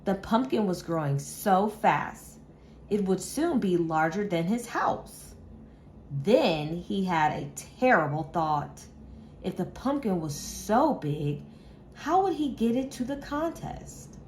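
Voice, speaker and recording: A woman reading a children's story aloud with measured, expressive delivery, like a teacher. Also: medium pitch, slight background noise.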